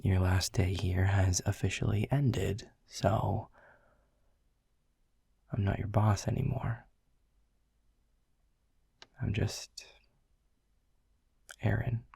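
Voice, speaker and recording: A world-weary, grumpy middle manager, played by a man in an audio roleplay, speaking low and unhurried in a breathy half-whisper. His gravelly, sardonic voice teases and curses lightly, dry and close. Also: level tone, dry recording, full character voice. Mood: wry, teasing. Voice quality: hushed, breathy. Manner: sardonic, teasing, swears casually. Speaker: boss.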